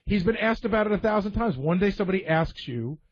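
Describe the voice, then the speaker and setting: Dull lo-fi audio, male radio staffer, fairly high voice, casual storytelling. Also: lively intonation, fast talker. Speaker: radio show staff member.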